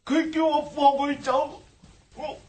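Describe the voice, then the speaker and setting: An actor playing a timid gang underling in a radio drama, speaking with a Hong Kong Cantonese accent and a pleading, apologetic manner. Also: male voice, extremely high pitch, level tone, slow, a little mellow.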